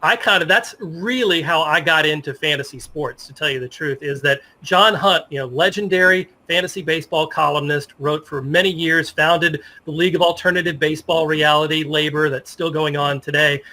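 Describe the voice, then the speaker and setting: Middle-aged man, American accent, high voice, bright and forward tone, chatty interview guest reminiscing. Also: normal speed, few pauses. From United States. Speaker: interview guest, sports journalist. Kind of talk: casual interview conversation, reminiscing.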